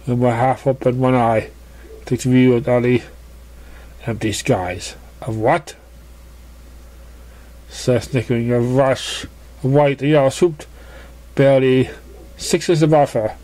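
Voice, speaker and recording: A man narrates a written story in a mellow, relaxed voice. His pitch is average and his reading is a little halting, like an amateur working through the text. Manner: halting reading with misread words. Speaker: story reader. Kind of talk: scripted narration.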